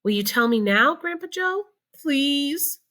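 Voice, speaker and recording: An eager little boy in a storybook, curious and pleading, his questions rising high, as a teacher puts him on. The tone is bright and lifted. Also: expressive, unhurried, exaggerated voice. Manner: pleading, wide-eyed questions.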